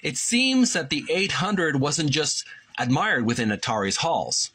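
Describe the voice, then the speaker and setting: A man's voice: fairly high pitch, very animated, measured pace, pushed and bright, slightly rough. A man hosting a channel, reading passages from a book aloud and adding his own remarks, sometimes stumbling and correcting himself as he goes.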